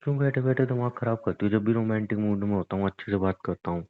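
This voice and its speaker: A man's voice: fairly low pitch, fast, lightly acted. A young man playing a pleading, sulky, clingy boyfriend in a casual romantic phone-call skit, with a whiny, coaxing manner. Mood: sulky, complaining. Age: young adult. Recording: thin, narrow phone-line sound.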